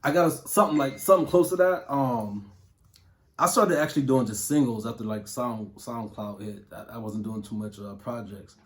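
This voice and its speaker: A man's voice: mid-range voice, moderate pace. Man, thirties, from Ohio, music producer, easygoing chatty answers, slangy. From Toledo, Ohio. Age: 30s. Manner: relaxed, loose talk with fillers and slang. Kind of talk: casual interview answers.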